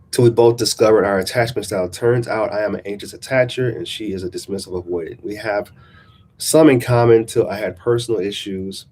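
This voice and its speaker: Nasal, low-voiced man coaching casually. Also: darkish tone, a little hiss or hum. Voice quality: nasal from congestion. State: congested, recovering from a sinus infection. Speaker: relationship coach hosting a vlog. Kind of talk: casual motivational chat, reading out a subscriber email. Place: small-town coffee shop.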